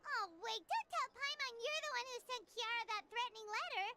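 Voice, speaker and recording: A woman voicing a tiny excitable female fairy companion, high and squeaky, chirpy and inquisitive. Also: a little fast, full character voice. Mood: curious. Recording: game audio with loud music.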